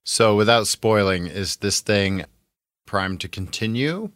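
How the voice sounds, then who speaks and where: Male co-host, lowish voice, dry recording, wry sarcastic banter. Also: slow pace, a bit bright, no echo. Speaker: podcast co-host. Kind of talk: casual banter.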